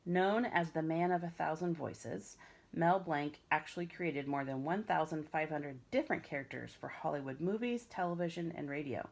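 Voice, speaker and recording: A woman's voice: deep voice, normal speed, creaky. A woman reading a children's story aloud as a school librarian, expressively and with small asides.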